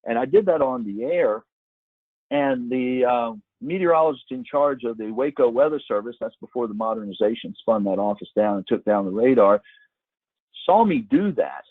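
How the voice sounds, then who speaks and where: A middle-aged man with a husky voice giving an informal, conversational webinar talk as presenter, explaining things and reminiscing. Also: mid-range voice, expressive, moderate pace, no room sound. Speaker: webinar presenter, former broadcast meteorologist. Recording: muffled narrow phone-like line.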